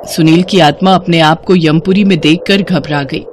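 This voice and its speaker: A woman's voice: low-pitched, fairly even intonation, moderate pace, noisy background. A woman reading scripted narration for a cartoon story.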